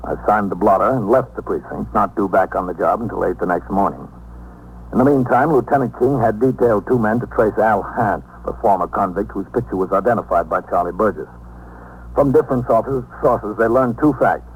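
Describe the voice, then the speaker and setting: An actor playing a dry, tough police captain, matter-of-fact and clipped in the style of a 1950s radio drama. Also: male voice, lowish voice, brisk, close to an everyday voice. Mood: factual, dry. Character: dry, tough New York police captain narrating cases. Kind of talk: factual scripted narration, 1950s radio acting. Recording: noisy, humming 1950s radio recording.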